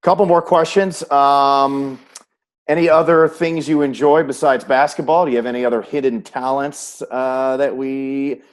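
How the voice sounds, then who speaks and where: A middle-aged man with a mid-pitched voice hosts a sports chat. His delivery is conversational, and he reworks his questions while he speaks. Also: steady tone, normal speed. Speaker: interview host, sports play-by-play announcer. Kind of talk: conversational interview hosting.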